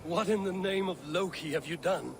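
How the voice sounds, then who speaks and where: An actor playing a steady, practical Viking warrior in a video game, speaking with blunt command. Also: male voice, sits high, moderate pace, noisy background. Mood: exasperated. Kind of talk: video game cutscene acting.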